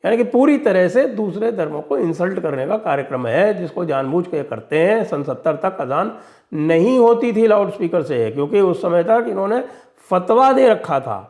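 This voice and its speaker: A man's voice: sits high, very animated, moderate pace, a little mellow. A man delivering opinionated political and religious commentary in an emphatic, sarcastic and mocking manner. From India. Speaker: commentator and show host.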